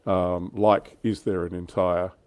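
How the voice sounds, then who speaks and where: A man's voice: sits low, flat delivery, slow pace, a little mellow, dry recording. A middle-aged man, hosting a casual livestream chat about tabletop wargaming. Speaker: livestream host.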